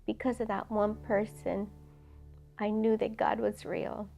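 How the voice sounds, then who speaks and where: A middle-aged woman from El Salvador tells her personal testimony in a halting, reflective way with many pauses. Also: medium pitch, flat delivery, gravelly, mellow, soft background music. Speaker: ministry founder.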